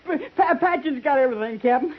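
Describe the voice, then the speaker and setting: An actor playing a frightened young army deserter, pleading and stammering, with a voice. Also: male voice, extremely high pitch, lively intonation, quick pace. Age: young adult. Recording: tinny old radio broadcast.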